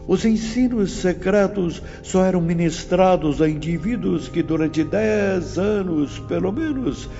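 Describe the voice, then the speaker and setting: An actor playing a stern, learned spirit teacher in a dramatised audiobook, lecturing in a solemn, emphatic, oratorical way. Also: male voice, mid-range voice, gravelly, mellow, exaggerated voice. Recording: muffled, long reverb, music behind.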